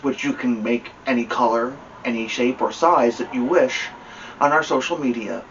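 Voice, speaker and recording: A man hosting a story time, reading a children's book aloud in an expressive way and chatting to his listener. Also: mid-range voice. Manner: expressive, talks to listener between story lines. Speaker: storytime host. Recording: distant mic, dull lo-fi sound, faint noise.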